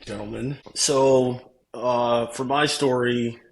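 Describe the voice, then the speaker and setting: A man's voice: mid-range voice, fairly even intonation, slow, creaky, low-quality recording. A young man from Nigeria and the United States, speaking as a podcast guest in a casual introduction. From born in Nigeria, grew up in the United States. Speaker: podcast guest, bank regulator.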